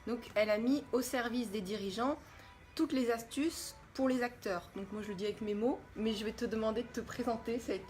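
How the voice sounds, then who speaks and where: A woman's voice: on the high side, a little fast, soft background music. A woman hosting a casual live-stream talk, chatty and greeting her viewers. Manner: chatty, warm greetings to viewers, many fillers. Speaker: host. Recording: faint background noise.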